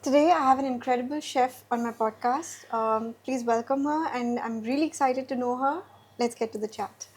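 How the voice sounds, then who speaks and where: Woman host, husky tone, fairly high voice, encouraging. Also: moderate pace, a little mellow. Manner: encouraging, short backchannels. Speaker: podcast host. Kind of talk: casual interview hosting.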